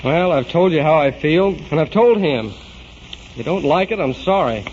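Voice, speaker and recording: Headstrong young American male student played by an actor, high, animated voice, earnest vintage radio drama. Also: normal speed, close to an everyday voice. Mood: stubborn, hurt. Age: young adult. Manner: earnest, insistent. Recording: muffled, noisy vintage radio recording.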